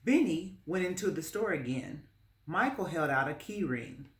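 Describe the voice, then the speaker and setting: A woman reading a children's story aloud as narrator, in a measured, expressive storytelling manner. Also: low voice, slight background noise. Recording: roomy, echoing space.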